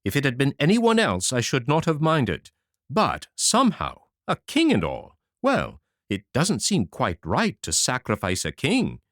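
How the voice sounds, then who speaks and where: A man's voice: mid-range voice, huge pitch swings, unhurried, dry recording, clean studio sound, voice pushed up. A man voicing an anxious, indecisive king who talks fretfully. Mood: relieved.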